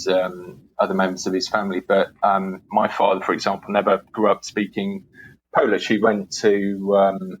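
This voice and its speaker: Flat-voiced hesitant British man. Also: fairly low pitch, low-quality recording. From United Kingdom. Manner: hesitant, many restarts and fillers. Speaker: guest, historian. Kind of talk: interview answers. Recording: faint background noise.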